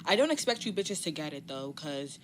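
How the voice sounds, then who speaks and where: A sassy, playful woman from Nigeria speaks in a casual monologue, slipping Spanish phrases into her talk. Her voice is fairly low. A faint hiss of background noise runs under it. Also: very animated, a little fast. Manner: sassy, playful, code-switching.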